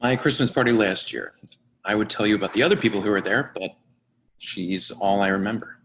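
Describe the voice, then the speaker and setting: A man acts out an awkward young bachelor hopelessly in love, in a stage play reading. The voice is fairly low with a sharp, bright edge. He speaks haltingly and hesitates as he goes. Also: a little hiss or hum, rough recording. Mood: awkward, lovesick. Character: awkward lovesick man in his twenties.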